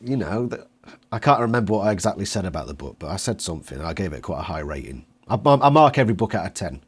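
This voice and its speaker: A man's voice: low-pitched, sing-song, fast talker, husky. A man chatting casually about books as an interviewer.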